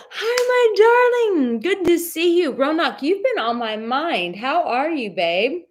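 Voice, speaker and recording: A woman hosting a live dating advice stream, reading viewer comments in a warm, affectionate and chatty way, with a soothing voice and many filler words. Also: sits high, very animated, slow. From Czech heritage.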